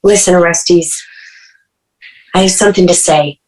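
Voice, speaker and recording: An actress playing an anxious, devoted young sister nursing her sick brother, gentle and pleading. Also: lowish voice, measured pace, lightly acted, poor audio. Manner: gentle and pleading, urgent when alarmed. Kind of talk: stage play reading.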